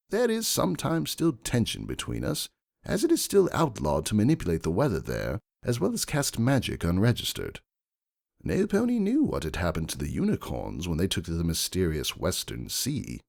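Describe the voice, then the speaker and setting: A man voicing a refined, shrewd stallion aristocrat, pompous and deliberate, in a dramatic first-person fan fiction reading. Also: deep voice, wildly swooping pitch, vocal fry, darkish tone, no echo, clean studio sound, heavily acted. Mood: measured, storytelling.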